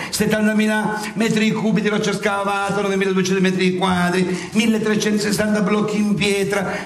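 Boastful old-church friar saint: high voice, sarcastic oratory, satirical theatre, echoey distant stage recording. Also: male voice, vocal fry. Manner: declamatory, sarcastic, mock-courtly oratory. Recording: echoey stage, distant mic, faint noise.

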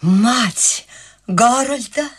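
An actress playing a cheerful, eccentric eighty-year-old countess who talks in a lively, chatty and warmly insistent way in a radio play. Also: female voice, on the high side, extremely slow, slightly rough, exaggerated voice. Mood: delighted. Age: 80s (figure). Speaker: lead character.

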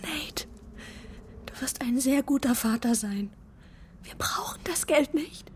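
A young girlfriend in a radio crime play. Her voice is fairly high, troubled and principled, over faint ambient noise. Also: lively intonation, slow. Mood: troubled, pleading. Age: young adult. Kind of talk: radio drama acting.

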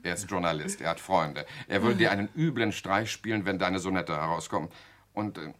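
An actor playing a jovial, cynical journalist and schemer in a German radio drama, boisterous and coaxing. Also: male voice, low voice, brisk, fairly bright tone. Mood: coaxing, instructing.

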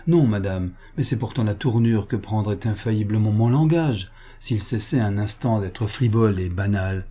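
Un lecteur en gentilhomme réservé, voix sombre. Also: male voice, lowish voice, dark tone, husky. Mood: cool reserve. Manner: formal, measured. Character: reserved, courteous gentleman, coolly restrained yet anxious. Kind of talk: dramatic novel reading.

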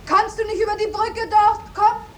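An actress playing an earnest, plain-spoken young peasant maid in a 1950s German radio drama. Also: female voice, very high voice, level tone, moderate pace. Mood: anxious, pleading. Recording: faintly hissy, distant 1950s radio recording.

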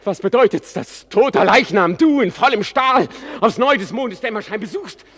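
An actor playing an anguished, headstrong young prince in a German radio play, declaiming verse fervently. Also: male voice, high-pitched, lively intonation, normal speed, a bit bright, slightly rough, exaggerated voice. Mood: awestruck, pleading.

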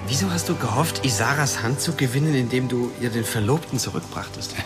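A man's voice: mid-range voice, fairly quick, lightly acted. Man playing earnest, troubled young hero. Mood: doubting, wry. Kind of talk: radio play acting. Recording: music under the voice.

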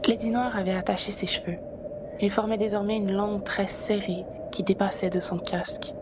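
Female storyteller for scripted fiction narration, mid pitch, audible background noise. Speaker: author and narrator.

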